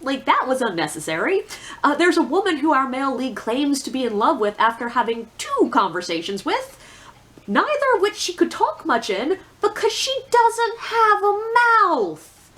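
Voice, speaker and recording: A woman's voice: high-pitched, sing-song, normal speed, a bit bright. A woman giving a casual, opinionated book review in a blunt, sardonic, conversational way with emphatic asides.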